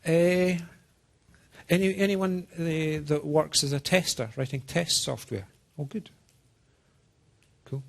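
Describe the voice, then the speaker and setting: A man's voice: a little high, vocal fry. Man with a half-Scottish, half-American accent. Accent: Scottish-American mix. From Scotland. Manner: deliberate, halting delivery, checks in with listeners. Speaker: software program manager giving a presentation. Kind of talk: informal technical talk to a small audience.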